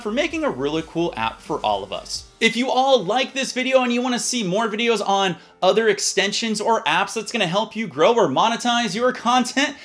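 A man in his thirties presenting a software tutorial in a casual, upbeat way. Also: high-pitched. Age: 30s. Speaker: YouTube tutorial host. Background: background music.

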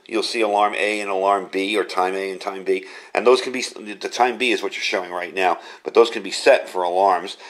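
A man giving a casual hands-on product review in a conversational, enthusiastic way. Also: on the low side. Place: indoor workshop room at a desk.

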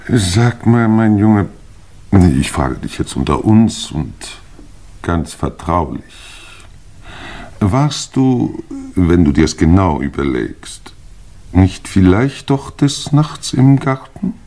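Old english lord uncle, low voice, very animated and polite, old 50s radio play. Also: male voice, slow pace, exaggerated voice. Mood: confiding. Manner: courteous, hesitant, discreet. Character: older English lord, genteel confiding uncle. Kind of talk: 1950s German radio play acting. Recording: vintage radio play, music underneath.

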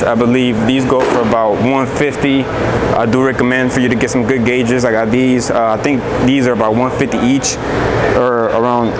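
A man talking casually as a welding instructor, loose and easygoing, with lots of filler words, standing outdoors by his work truck. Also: medium pitch. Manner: laid-back, loose speech with many "uh" fillers. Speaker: welding instructor and business owner. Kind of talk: casual vlog walkthrough. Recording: noisy outdoor recording.

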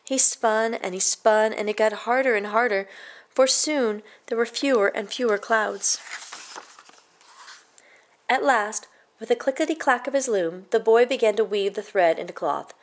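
A woman with a fairly high voice reads a children's storybook aloud. Her storytelling is measured and expressive, phrase by phrase.

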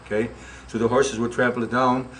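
A man's voice: medium pitch, flat delivery, a little fast, fairly bright tone, slight background noise. An older man guiding a tour through an old wooden barn, explaining farm tools in a folksy, rambling way. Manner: folksy, rambling, full of "you know" and "okay?". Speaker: museum guide. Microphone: camera mic, handheld camera.

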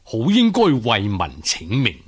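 An actor playing an earnest, troubled official, solemn and measured, in a radio drama. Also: male voice, medium pitch, huge pitch swings, slightly rough, heavily acted. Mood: troubled, grave. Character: earnest, troubled male official and loyal husband.

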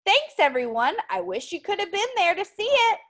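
A woman's voice: extremely high pitch, moderate pace, pitched above the performer's own voice, heavily acted. A woman voicing an eager, earnest young male pig with big dreams in a children's storybook. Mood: excited. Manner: exclamatory, earnest, excitable.

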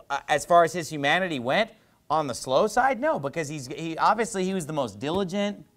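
Pastor, thirties, man, slightly high voice, animated conversational sermon on a lapel mic. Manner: animated, gesturing, conversational preaching with asides. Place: small church stage with painted backdrop, indoors.

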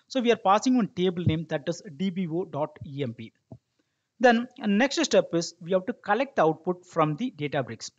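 High-pitched man teaching, dull sound. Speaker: tutorial instructor. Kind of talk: step-by-step software tutorial narration.

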